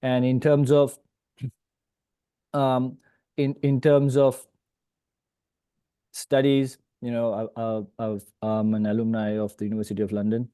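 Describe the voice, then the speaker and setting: A man who grew up in Australia, introducing himself as a panellist in a halting way, repeating the openings of his phrases. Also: mid-range voice, completely flat delivery, mellow, clear, resonant tone, no echo. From Australia, born in Sri Lanka. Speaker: panellist, swimming coach. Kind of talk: hesitant self-introduction on a panel. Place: online video meeting.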